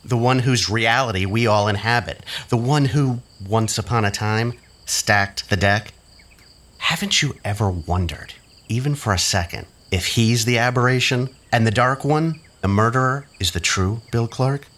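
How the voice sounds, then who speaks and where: An actor playing a sly, mocking schemer in an audio drama, with theatrical, insinuating delivery. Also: male voice, mid-range voice, normal speed, fairly bright tone, close to an everyday voice. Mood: taunting.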